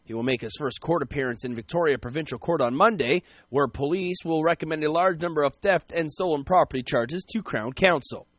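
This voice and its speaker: A man reading the news on the radio in a brisk, even newscaster delivery from a studio. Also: on the high side, dry recording. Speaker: radio newsreader.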